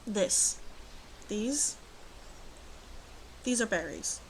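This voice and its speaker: A woman playing a proud, wry female werewolf of the forest in an ASMR roleplay, teasing and faintly disdainful, speaking deliberately to the listener. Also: mid-range voice, animated, slight background noise, rough recording. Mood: calm, instructive.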